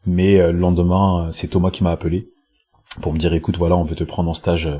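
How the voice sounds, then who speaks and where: A man's voice: low-pitched, monotone, brisk, warm tone, husky. A man from Congo, a pro basketball player, talking casually as a podcast guest, hesitant with many fillers and repeats, recorded on his own home podcast mic. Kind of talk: casual interview talk. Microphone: home podcast mic with headset.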